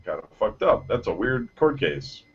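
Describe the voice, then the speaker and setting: A man with a lowish voice hosts a gaming news show, reading stories and then riffing on them with swearing and jokes. The audio sounds dull and lo-fi, like a home podcast recording. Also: sing-song, moderate pace, husky. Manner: reads headlines then jokes, swears freely. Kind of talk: casual news chat.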